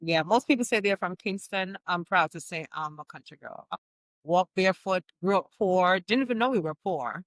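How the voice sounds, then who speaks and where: A woman's voice: lowish voice, expressive, normal speed, no echo. A woman, a fitness coach telling her life story as a podcast guest, laughing often. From Jamaica. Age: 60s. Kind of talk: podcast interview.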